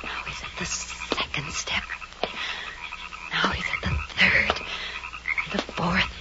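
A woman's voice: slow pace, lightly acted. An actress playing a terrified young woman alone at night in 1940s radio drama style, breathless and melodramatic as she talks to herself. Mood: hushed dread. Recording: noisy, muffled old radio recording.

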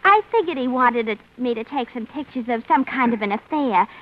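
Dull vintage radio recording, actress as high-pitched American dame, swooping intonation, prim, naive, affectedly genteel, 1940s radio comedy. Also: female voice, animated, a little fast, close to an everyday voice. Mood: chatty, naive. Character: prim, naive, chirpy dame who fancies herself refined. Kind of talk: 1940s-50s radio comedy-adventure acting. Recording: dull old radio recording, faint noise.

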